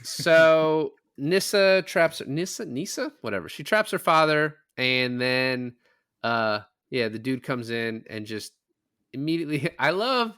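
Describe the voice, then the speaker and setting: A man's voice: mid-range voice, expressive, unhurried, fairly bright tone, smooth. A man talking casually about movies in a rambling, conversational way, with offhand swearing. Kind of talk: casual movie discussion podcast chat.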